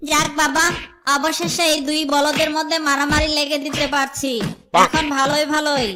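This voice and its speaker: A woman voicing a sly, flattering, scheming jackal in a children's cartoon. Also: high voice, moderate pace, soft background music. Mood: gloating, sly. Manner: wheedling, flattering, theatrical.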